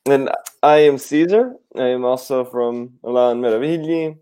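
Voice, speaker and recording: A man from Puerto Rico, co-hosting a live online English lesson in a relaxed, joking way and laughing often. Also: mid-range voice, moderate pace. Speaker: co-host teacher.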